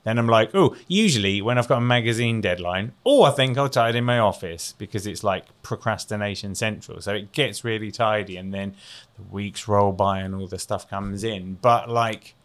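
A man's voice: lowish voice, normal speed. A man co-hosting a casual craft chat show, jokey and playful, laughing often as he talks. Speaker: co-host.

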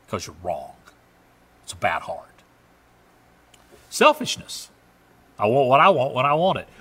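A middle-aged man with a Southern US accent, preaching a conversational sermon with loud emphatic peaks and quiet asides. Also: medium pitch, breathy edge. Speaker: pastor.

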